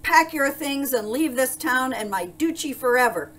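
A woman voicing an angry, commanding male Duke in a children's storybook read-aloud, in a loud roaring manner. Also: fairly high pitch, animated, fast talker, a little hiss or hum, pitched above the performer's own voice, lightly acted. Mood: furious, roaring.

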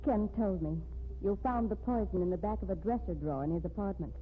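A woman's voice: medium pitch, fast talker, natural voice. An actress playing an earnest, insistent woman defending the man she loves in a 1950s radio drama. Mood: anxious certainty. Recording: tinny, noisy 1950s radio recording.

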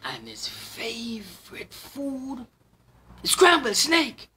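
A man plays a clever little mouse in a children's story, polite and bluffing, with a Trinidadian accent. The pitch swoops widely and the delivery is slow, slipping into hushed phrases. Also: huge pitch swings, slight background noise, full character voice. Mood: menacing bluff.

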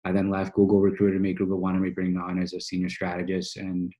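A man's voice: deep voice, completely flat delivery, fast, warm tone, dull audio. A man in his early thirties from Boston, telling his story in casual, slangy speech as a podcast guest. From United States, Boston. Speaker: podcast guest, financial coach. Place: small office, indoors, on a video call.

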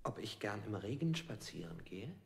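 An actor playing an overpowered man under interrogation in an avant-garde radio play, deliberate and evasive, with a husky voice. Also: lowish voice, sing-song, exaggerated voice. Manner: deliberate, evasive, echoing questions.

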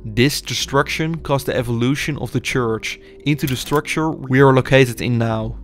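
A young man giving measured, scripted documentary narration as an urban explorer. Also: mid-range voice, faint music behind. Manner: measured, explanatory delivery.

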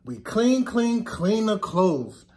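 Slow, high-voiced man reading to children. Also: sing-song, a little mellow. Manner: sing-song, deliberate, stressing repeated words. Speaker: teacher reading aloud to children. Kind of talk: children's picture book read-aloud. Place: classroom, indoors.

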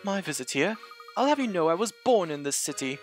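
A prim, slightly haughty older woman from a puzzle adventure game, voiced by a man reading her lines. She talks in a proper, measured way over soft game music. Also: sits high, big pitch swings, voice pushed up. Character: prim older woman, newly moved to the city.

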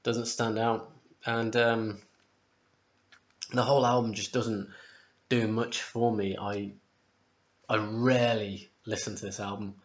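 Hoarse man rambling in his kitchen. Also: lowish voice, level tone, takes it slowly, long pauses. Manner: rambling, chatty, corrects himself. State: sore throat, losing his voice. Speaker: music vlogger. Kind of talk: casual album ranking vlog. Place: home kitchen, indoors. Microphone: webcam at close range. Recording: dull lo-fi webcam audio.

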